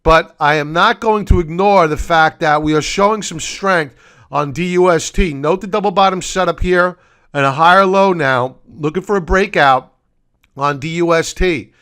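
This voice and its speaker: A hoarse, middle-aged man, losing his voice, hosting a solo stock-market recap over charts and walking viewers through his reasoning. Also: on the high side, fairly bright tone. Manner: deliberate, explanatory, addresses viewers as folks. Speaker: market commentary host.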